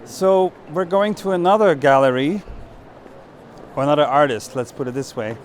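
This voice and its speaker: A man's voice: on the high side, normal speed. A man hosting a casual walking interview, asking questions and guiding the conversation in an informal way. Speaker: interviewer and tour host. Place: art fair hall, on a walking tour. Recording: faint fair-hall background noise.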